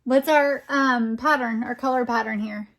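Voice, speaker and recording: A woman's voice: a little high, fairly even intonation, normal speed, a bit bright. A woman reading a picture book aloud to a young child as a teacher, with encouraging, exclamatory delivery. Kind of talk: interactive children's storytime read-aloud.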